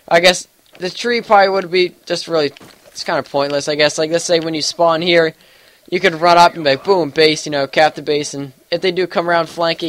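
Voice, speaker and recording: A man's voice: on the high side, fairly bright tone. A young man with a congested, sniffly voice giving casual gameplay commentary, rambling with lots of fillers and hedges. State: congested with allergies. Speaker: gaming YouTuber.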